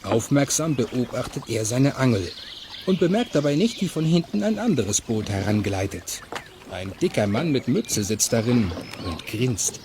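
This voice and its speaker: A man's voice: mid-range voice, big pitch swings, moderate pace, background noise. A man narrating a scripted children's radio play as its storyteller.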